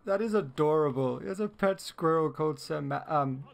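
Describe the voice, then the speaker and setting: A man's voice: on the high side, normal speed, vocal fry. A man giving casual let's play commentary, muttering his thoughts aloud as he plays. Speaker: gamer playing and commenting.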